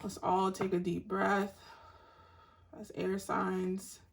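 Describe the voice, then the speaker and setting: A woman giving a tarot reading to her online audience in a soft, meandering, spiritual way, with a raspy voice. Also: mid-range voice, completely flat delivery, heavy background noise. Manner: soft-spoken and meandering, unhurried spiritual talk. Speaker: tarot reader.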